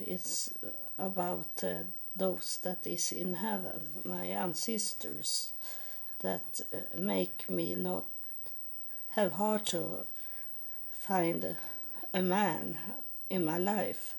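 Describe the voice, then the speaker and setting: Swedish woman, English second language, hesitant, word-searching vlog monologue, heavy vocal fry. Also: on the low side, a little mellow. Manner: halting, searching for words, many restarts. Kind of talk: slow personal spiritual monologue.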